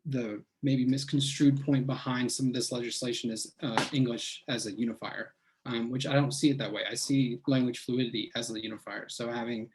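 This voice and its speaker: A man's voice: medium pitch, fairly even intonation, a little hiss or hum, low-quality recording. A man from Texas, a participant speaking casually and thoughtfully in an online discussion. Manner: thoughtful, measured, with fillers.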